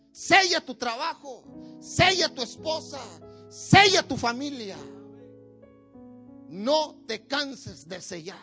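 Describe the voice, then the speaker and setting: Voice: high-pitched, pushed and bright. A preacher delivering a sermon at a church service, with emphatic, repetitive exhortation.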